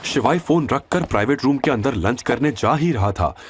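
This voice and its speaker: A man's voice: mid-range voice, animated, moderate pace, breathy edge. A man delivering dramatic scripted story narration. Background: music.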